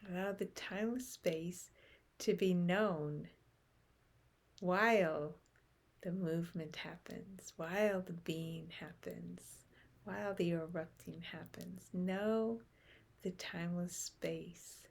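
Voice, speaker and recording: Female meditation guide: lowish pitch, gravelly fry, soothing and gentle. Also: takes it slowly. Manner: gentle, soothing, with soft breathy phrase endings.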